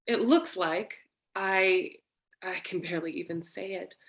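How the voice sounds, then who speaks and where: A woman's voice: on the low side, measured pace, a bit bright. Woman playing a melodramatic femme fatale in distress. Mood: earnest, urgent. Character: frightened, seductive femme fatale wife, breathy damsel in distress. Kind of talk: staged reading of a detective noir parody.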